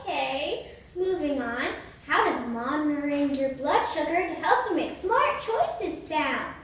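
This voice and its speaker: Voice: high voice, animated, unhurried, a bit bright, slight background noise, exaggerated voice. A young-sounding performer voicing an earnest, patient health educator puppet in an amateur puppet show, presenting in an instructive way. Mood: encouraging. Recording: echoey room, far from the mic.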